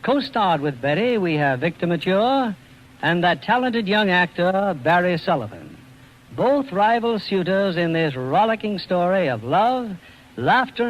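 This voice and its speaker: A man's voice: high-pitched, lo-fi sound, a little hiss or hum. An American man narrating a 1940s radio drama from a script, with a polished, theatrical showman's delivery. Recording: old radio recording with orchestral music.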